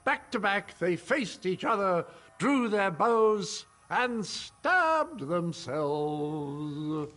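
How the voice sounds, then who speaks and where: A man's voice: high-pitched, big pitch swings, drawn-out words, fairly bright tone, full character voice. A man voicing an eccentric storyteller in a game, reciting nonsense verse in a whimsical, theatrical singsong.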